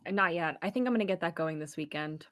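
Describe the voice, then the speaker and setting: A woman's voice: lowish voice, fast, fairly bright tone, lightly acted. Woman playing an easygoing teasing college girl. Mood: casual. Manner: casual. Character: easygoing, teasing young female college crush. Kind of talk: table read of a pilot script.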